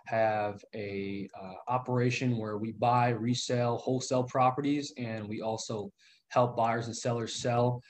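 A man's voice: medium pitch, fairly even intonation, measured pace, slight background noise. A man in his twenties, a guest in a casual interview, earnest and loose with fillers. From Southern California. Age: 20s. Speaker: guest, real estate investor.

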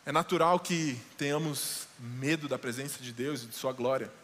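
A man preaching a sermon as pastor, slowing down and dropping low for dramatic quoted lines. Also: fairly even intonation, a bit bright, in a large hall, a little hiss or hum. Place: church sanctuary.

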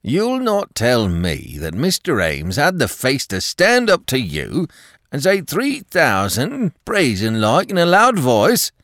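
Man voicing an old village gardener, folksy, obstinate, swooping pitch, dry sound. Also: wildly swooping pitch, slow, a bit bright, dry recording, exaggerated voice. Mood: incredulous. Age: older. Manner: folksy rustic dialect, chuckling. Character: old male village gardener, stubborn and placid.

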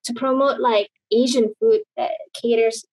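A woman's voice: on the high side, steady tone, unhurried, a little mellow, no echo, poor audio. A woman from Indonesia, a guest talking casually over a video call, rambling with many fillers and restarts.

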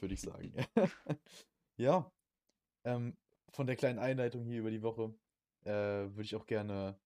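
A young man co-hosting a podcast in casual chat, using youth slang and often halting to search for words. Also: medium pitch, dark tone, no echo. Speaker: podcast co-host.

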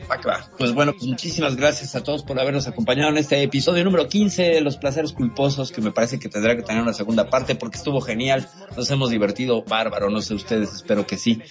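A man's voice: mid-range voice, fast talker, few pauses, faint music behind. A man with a Mexican accent speaking casually as the co-host of a radio show. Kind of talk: casual radio sign-off with thanks.

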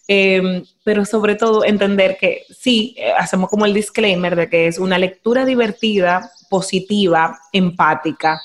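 Young dominican woman hosting a podcast, dominican accent, chatty and animated, tosses in english words. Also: mid-range voice. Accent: Dominican Spanish. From Dominican Republic. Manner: animated, mixes in English phrases. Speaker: podcast host. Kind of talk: casual podcast conversation.

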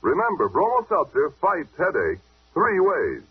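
A man working as a 1940s radio announcer delivers punchy commercial copy. His voice swings widely in pitch and sounds rough-edged, on a narrow, muffled old recording. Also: wildly swooping pitch, measured pace. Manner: punchy, emphatic sales delivery. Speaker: radio announcer reading sponsor ads.

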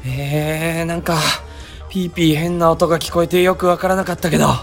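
A man's voice: a little high, little pitch movement, a little fast, faint music behind, natural voice. A man playing a mild, naive, resigned young sightseer in a comedic radio drama, plain-spoken and bemused. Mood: puzzled, uneasy.

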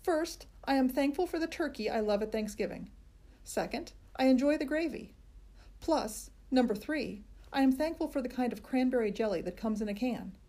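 A woman voicing an eager, boastful little schoolgirl in an audiobook, with an excited, emphatic delivery. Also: high-pitched, moderate pace, pitched above the performer's own voice. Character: spunky bossy little schoolgirl, loud and eager. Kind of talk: audiobook character voice.

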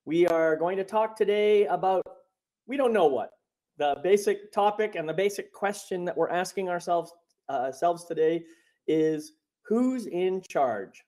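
A man's voice: sits high, a little slow, a little mellow. A man hosting a spiritual podcast, talking over a remote call in a rambling way with many fillers and restarts. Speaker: podcast host and coach. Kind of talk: casual spiritual podcast chat.

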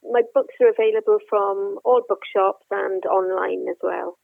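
A Welsh woman speaks gently and earnestly as a guest researcher on a podcast. Her voice comes through a thin, tinny phone line with a dry sound. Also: medium pitch, steady tone, normal speed, vocal fry, dry recording. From Wales. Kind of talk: remote interview.

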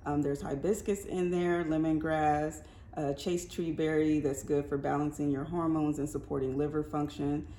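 A low-voiced woman speaks as a wellness practitioner guest, calm and informative. Her tone is mellow and warm. The recording carries an echoey room with a faint hiss. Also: normal speed. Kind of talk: interview answers.

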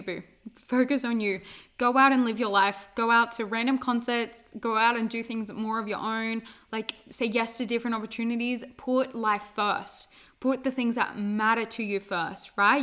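A young woman, hosting a podcast in a casual, fast-flowing motivational monologue full of "like" and asides. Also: a little high, a bit bright. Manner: fast, chatty, peppered with "like" and asides. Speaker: podcast host.

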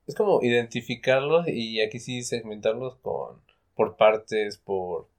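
A man co-hosting a casual literary discussion in a tentative, halting, conversational way. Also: on the low side. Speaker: podcast co-host.

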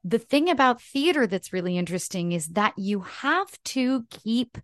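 A woman's voice: a little high, animated, normal speed, no echo, studio quality. A woman in her thirties from Canada whose first language is French, chatting in a casual interview and telling stories with many "like" fillers. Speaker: actress, podcast guest.

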